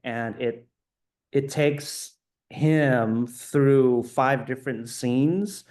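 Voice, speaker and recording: A male filmmaker speaks at an unhurried pace, choosing his words with frequent ums. His pitch sits in an ordinary middle range, and he sounds reflective rather than rehearsed. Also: darkish tone. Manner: thoughtful, many ums and restarts. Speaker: film director. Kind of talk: festival Q&A answer.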